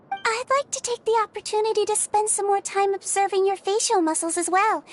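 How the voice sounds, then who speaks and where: An extremely high-voiced, petite video game nurse. She is gentle and sweet, speaking with polite, doting formality.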